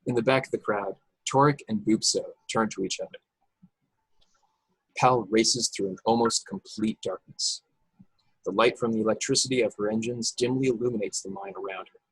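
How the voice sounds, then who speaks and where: A man's voice: lowish voice, steady tone, moderate pace, husky, no echo, low-quality recording. A man reading scripted action narration and stage directions at an online table read. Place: indoors, remote video call table read.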